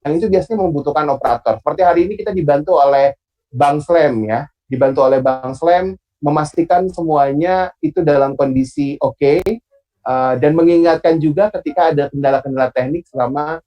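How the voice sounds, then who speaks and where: A man with a Sundanese accent hosting an online public speaking class, relaxed and pausing often with fillers. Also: a little high, muffled recording. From Bandung, Indonesia. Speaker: host and moderator.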